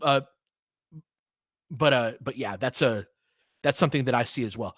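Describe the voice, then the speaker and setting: A man's voice: mid-range voice, moderate pace, a bit bright, dry recording, studio quality. A man giving live basketball play-by-play mixed with casual, long-winded analysis in a conversational, explanatory way.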